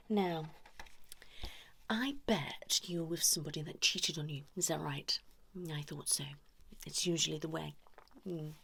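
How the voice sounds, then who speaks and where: Lady doing asmr therapist roleplay, breathy whispering, lowish voice, chatty with lots of tag questions. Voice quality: breathy, soft whisper mixed with low murmur. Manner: chatty, confiding, addressing listeners directly with frequent tag questions. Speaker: ASMR performer role-playing a couples therapist.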